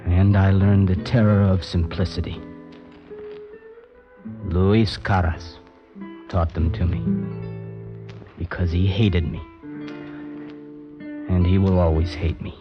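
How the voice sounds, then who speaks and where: A grave, low-voiced poet guitarist. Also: male voice. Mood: somber. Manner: slow, somber, reflective. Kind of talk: 1950s radio drama narration. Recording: dull old radio broadcast with music.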